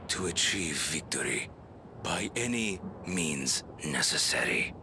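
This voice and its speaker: A man's voice: very deep voice, sing-song, takes it slowly, background noise, heavily acted. An actor playing a ruthless, imperious tyrant king in a video game, speaking with menacing disdain. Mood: grim resolve. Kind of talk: video game cutscene acting. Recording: music under the voice.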